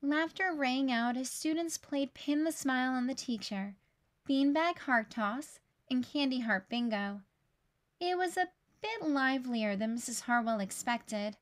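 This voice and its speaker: A woman reading a children's picture book aloud in an expressive storytelling style. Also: sits high, measured pace.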